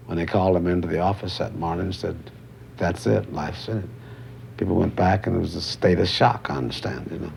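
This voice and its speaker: An older man recalling the past in measured, emphatic phrases for a documentary interview. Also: deep voice, fairly even intonation, creaky, darkish tone, slight background noise. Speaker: photojournalist interviewed.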